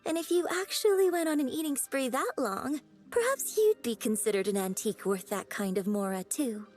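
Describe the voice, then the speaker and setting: An actress voicing a gracious, modest young woman artist in a video game, well-spoken and gently formal. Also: sits high, expressive, moderate pace. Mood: thoughtful. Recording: background music under dialogue.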